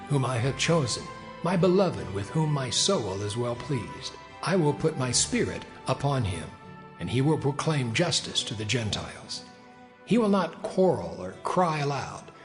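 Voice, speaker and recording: An actor playing a prophet, solemnly proclaiming prophecy in a dramatized scripture production, with long reverb on the voice. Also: male voice, mid-range voice, big pitch swings, unhurried, over music. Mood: solemn proclamation.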